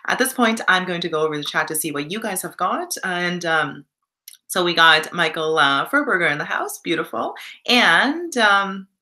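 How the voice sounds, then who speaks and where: A young woman from Canada talks to her live stream viewers in a loose, reflective way. Her voice sits low but has a bright, forward edge, and she keeps stopping to read the chat. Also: lively intonation, moderate pace. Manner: warm and reflective, reads chat comments aloud.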